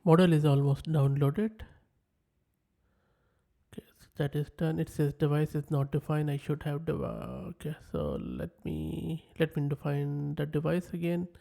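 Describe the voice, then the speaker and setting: A man who is a native Urdu speaker, presenting a software tutorial in a methodical way and narrating each step as he types. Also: on the high side, expressive, unhurried, vocal fry. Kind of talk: screen-share software tutorial.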